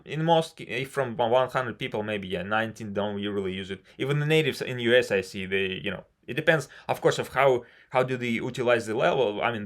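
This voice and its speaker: A man's voice: mid-range voice, a bit bright. Rambling Russian-accented man. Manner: rambling and frank, many "you know" fillers. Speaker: civil engineer. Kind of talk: casual conversation practice. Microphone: video call.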